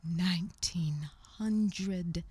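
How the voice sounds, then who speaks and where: A woman narrating a radio play in a slow, halting way, repeating words, swinging between raised cries and low whispers. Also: noisy background. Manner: drops to a whisper at times. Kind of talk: dramatic spoken-word storytelling.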